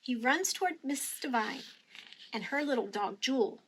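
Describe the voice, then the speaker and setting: A woman reads a children's story aloud in a high voice. Her delivery is expressive and teacherly, phrased carefully for young listeners. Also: normal speed, slight background noise, low-quality recording. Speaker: storybook reader.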